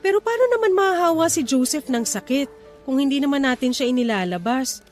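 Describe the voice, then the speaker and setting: Radio drama wife: a worried first-time mom, high-pitched and fretful, light music underneath. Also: female voice, animated, brisk, a little mellow. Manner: fretful, questioning. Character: anxious young wife and first-time mother.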